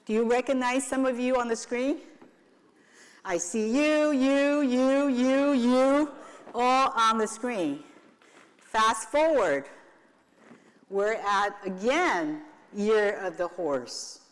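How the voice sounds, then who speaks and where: A woman from Taiwan hosts a client event from the stage, playful and unhurried. Her voice sits a bit high, and it rings out with the long reverb of a large hall. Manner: playful, leads the audience in call and response. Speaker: attorney and event host.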